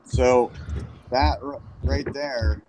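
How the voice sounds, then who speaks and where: A man's voice: medium pitch, steady tone, drawn-out words. Hesitant man asking questions, noisy line. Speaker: chat participant. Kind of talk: casual group chat.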